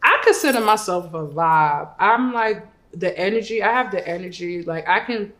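A woman's voice: mid-range voice, animated, normal speed, a bit bright. A woman who is a rapper and a mother, answering interview questions in an upbeat, chatty way with many fillers. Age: adult mother.

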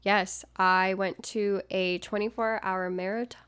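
A woman's voice: medium pitch, little pitch movement, slow pace, fairly bright tone, clear, resonant tone. A woman co-hosting a podcast in casual chat, sick and tripping over words, chatty and self-mocking. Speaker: podcast co-host.

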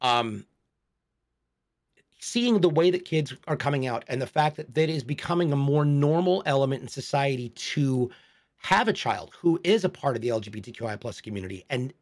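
A man's voice: medium pitch, lively intonation, moderate pace. A man in his forties, a podcast co-host, telling a personal story in a candid, reflective way. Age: 40s. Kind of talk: casual chat.

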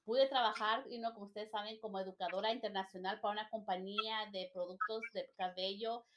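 A woman's voice: medium pitch, fairly even intonation, a little fast, noisy background. A woman in her thirties hosting a casual podcast chat, upbeat and encouraging, dropping English fillers into her Spanish. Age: 30s. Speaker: podcast host.

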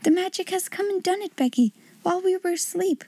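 A woman voicing a young girl, breathy and hushed, half-whispering in an audiobook reading. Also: very high-pitched, brisk, dry recording, pitched above the performer's own voice. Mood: joyful. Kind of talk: audiobook character voice.

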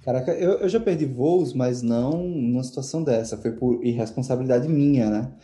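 A man's voice: medium pitch, a little fast, dark tone, soft background music. A man hosting a podcast, joking with his guests and steering the conversation. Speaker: podcast host. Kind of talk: casual chat.

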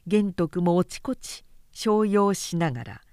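A woman narrating a literary audiobook in a measured, expressive, dramatic storytelling manner. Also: fairly low pitch, clear, resonant tone, no room sound, clean studio sound. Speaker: narrator, reader.

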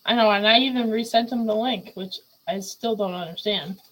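A woman with a mid-range voice hosts a relaxed chat, asking questions and laughing easily. A faint hiss of noise sits under her voice. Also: mid-range voice, moderate pace, rough recording. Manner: giggly, chatty. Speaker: podcast host. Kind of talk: casual chat.

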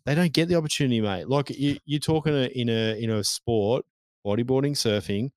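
A man's voice: medium pitch, fairly quick, darkish tone, no echo. A man, co-hosting a casual podcast chat, blunt and sweary. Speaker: podcast co-host.

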